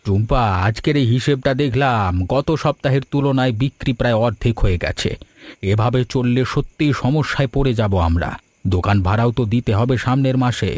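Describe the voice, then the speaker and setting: A man voicing a kindly, patient old grandfather who runs a food shop, gentle and earnest, in a cartoon story. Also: medium pitch, fairly quick, no room sound, close to an everyday voice. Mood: worried. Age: older.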